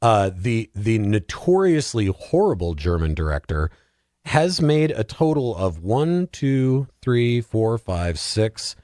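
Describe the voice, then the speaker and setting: Low-voiced man, emphatic film banter. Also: sing-song, slow, darkish tone, no echo, studio quality. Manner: emphatic, exaggerating for comic effect. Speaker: podcast guest, film commentator. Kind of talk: animated casual film banter.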